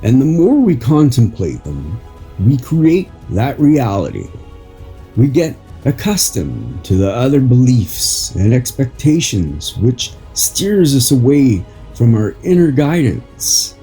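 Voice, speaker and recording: A man reading an inspirational article as a host, in a deliberate, phrase-by-phrase narration. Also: mid-range voice, sing-song, warm tone, soft background music. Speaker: podcast host and article reader.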